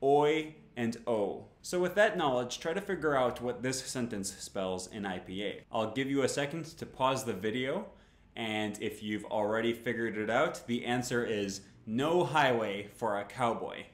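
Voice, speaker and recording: A man in his thirties, a language teacher, explaining phonetics clearly and patiently to camera in a small home room. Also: medium pitch, normal speed, reverberant.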